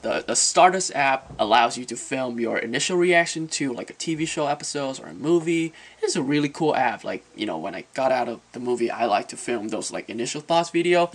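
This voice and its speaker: A man giving a casual off-the-cuff video movie review in non-native English, haltingly, repeating words as he searches for the next one. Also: medium pitch, a bit bright. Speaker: movie reviewer and vlog host.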